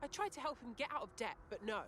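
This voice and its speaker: A woman's voice: fairly high pitch, big pitch swings, fast, fairly bright tone, a little hiss or hum. An actress playing a distraught woman in a video game, anxious and emotional as she pleads for a friend. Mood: distressed. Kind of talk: video game cutscene acting.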